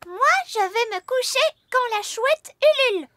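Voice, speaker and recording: A woman's voice: very high-pitched, normal speed, fairly bright tone, no room sound, studio quality. A woman voicing an eager, curious little fairy princess in a children's cartoon, childlike and innocent. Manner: childlike, giggly, wondering.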